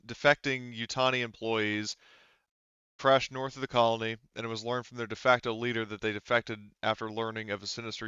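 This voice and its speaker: A man narrating a tabletop roleplaying game as game master, in halting phrases with frequent self-corrections. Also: medium pitch, sharp tone, clean tone, no room sound, muffled recording.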